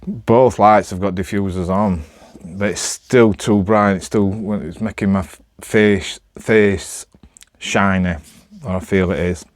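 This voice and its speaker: A man's voice: sits low, steady tone, slow, no room sound, studio quality. A man with a Northern English accent chatting casually to camera, rambling in a hesitant, self-deprecating way. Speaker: amateur vlogger.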